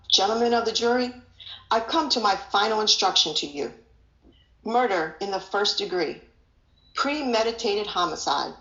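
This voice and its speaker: A woman reading the part of a grave male judge, formal and measured, in a scripted play. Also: mid-range voice, fairly bright tone. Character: grave, solemn male judge instructing a jury. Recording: echoey room, dull lo-fi audio.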